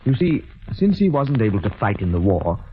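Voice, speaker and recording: An actor playing a calm, villainous doctor, polite and clinical, in 1940s radio drama style. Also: male voice, on the low side. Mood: clinical, measured. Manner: polite, measured, hesitant pauses, clinical. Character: calm, cold, calculating villainous male doctor, smugly scientific. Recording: muffled, noisy vintage radio recording.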